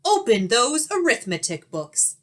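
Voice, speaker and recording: A woman's voice: on the high side, very animated, a little slow. A woman voicing a stern, snappish, witch-like substitute teacher in a children's storybook, curt and bossy.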